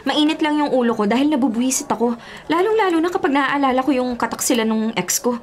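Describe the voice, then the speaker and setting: A woman's voice: high voice, fast talker, lightly acted. An actress playing a bitter, stubborn young woman in a Filipino radio drama, sarcastic and exasperated.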